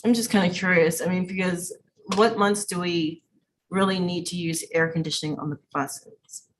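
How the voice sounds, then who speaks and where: Female board member, lowish pitch, conversational and probing, informal meeting questions, light background noise. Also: fairly even intonation, moderate pace, poor audio. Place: indoor school board meeting room.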